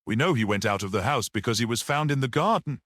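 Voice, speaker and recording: Man reading as a sceptical, lively-pitched detective. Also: fast talker, no echo, clean studio sound, close to an everyday voice. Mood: puzzled. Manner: challenging, questioning. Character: sceptical, practical male police detective. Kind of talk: dramatic audiobook character reading.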